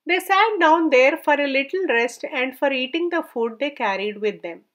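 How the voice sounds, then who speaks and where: A woman's voice: high voice, lively intonation, moderate pace, fairly bright tone. A woman narrating a scripted folk tale as a storyteller, reading it aloud.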